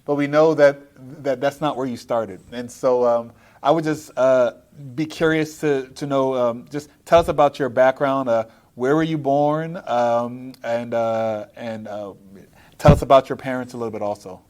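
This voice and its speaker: A man hosting an interview podcast, speaking with halting, hesitant phrasing as he puts questions to a guest. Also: medium pitch, steady tone, darkish tone, clean tone. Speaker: podcast host. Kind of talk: interview, live podcast recording. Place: at a conference in Seattle.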